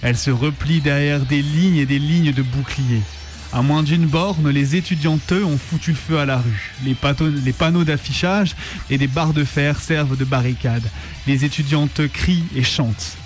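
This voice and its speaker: A man with a fairly high voice reads a prepared documentary script for a radio show. Faint music plays behind his narration. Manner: reading from a prepared text.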